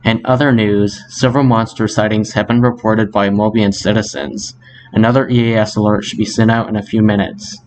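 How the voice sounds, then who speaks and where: A man's voice: lowish voice, little pitch movement, brisk, low-quality recording. A man reading a scripted breaking news bulletin as an announcer. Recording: music bed behind the voice. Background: news theme music.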